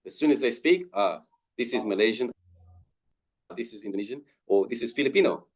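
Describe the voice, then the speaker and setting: A man from Australia with an Australian accent, giving a careful, clear talk as a webinar guest speaker. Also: medium pitch, lively intonation. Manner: slow, careful, clear explanation.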